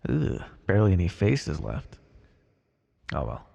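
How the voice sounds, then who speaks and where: A man playing a blunt, callous, wryly businesslike necromancer in an ASMR fantasy roleplay, muttering in a deadpan, gravelly voice. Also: low-pitched, unhurried, dull audio, exaggerated voice. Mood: dry, businesslike. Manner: deadpan, muttering to himself in thought. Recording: long reverberant hall echo.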